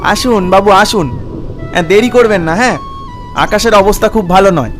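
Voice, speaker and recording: A man voices a young village goatherd in a cartoon. The boy sounds high-pitched, eager and respectful, repeating his urgings insistently. Faint music runs underneath. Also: normal speed, lightly acted. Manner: coaxing, deferential, repeats urgings. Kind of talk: cartoon voice acting.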